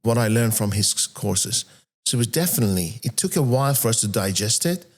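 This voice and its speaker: Soft-spoken man in his fifties, lowish voice. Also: animated, fairly quick. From born and raised in Iran, moved to England at 13. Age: 50s. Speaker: interview guest, entrepreneur. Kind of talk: animated personal storytelling in an interview.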